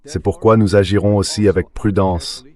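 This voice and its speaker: A man with a deep, very low voice reads translated political commentary. His delivery is measured and declarative, in the style of a voice-over. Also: fairly even intonation, darkish tone. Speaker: translation voice for speech and guest analysis.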